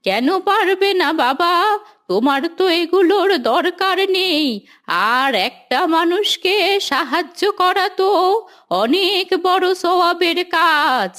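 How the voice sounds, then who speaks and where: Woman voicing gentle high-pitched cartoon grandmother. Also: slow pace, full character voice. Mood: gentle, persuading. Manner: gentle, patient, admonishing. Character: kindly grandmother gently moralizing to her grandson. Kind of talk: Islamic moral cartoon dialogue.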